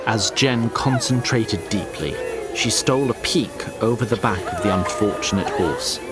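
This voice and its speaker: A man's voice: lowish voice, lively intonation, moderate pace. A man narrating a scripted fantasy audio drama in a storyteller's tone with wry asides. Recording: loud music behind the voice.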